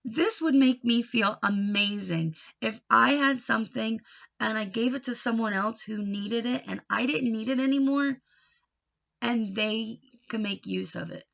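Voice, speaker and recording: A woman reading a picture book aloud to children, expressive, with questioning and explanatory asides. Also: a little high, a little slow, gravelly, highs cut off. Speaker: storybook reader teaching children.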